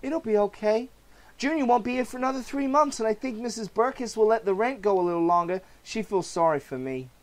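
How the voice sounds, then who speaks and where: Man voicing a gentle, reassuring wife. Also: sits high, a little fast, voice pushed up, natural voice. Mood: soothing.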